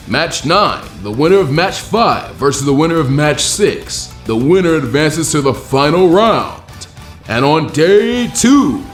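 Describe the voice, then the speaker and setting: A man playing a commanding tournament proctor, announcing formally and emphatically in a showy, drawn-out arena style. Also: a little high, reverberant.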